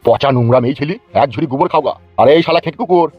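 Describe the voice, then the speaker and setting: An actor voicing a bullying, foul-mouthed cartoon politician in rural West Bengal Bengali, hectoring and boastful. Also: male voice, on the high side, rapid-fire, dry recording, dull audio, faint music behind, lightly acted. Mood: angry, threatening. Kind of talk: comic cartoon dubbing.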